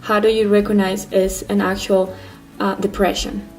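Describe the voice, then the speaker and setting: A woman interviewing a guest, asking gentle, probing questions. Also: mid-range voice, flat delivery, normal speed, soft background music, rough recording.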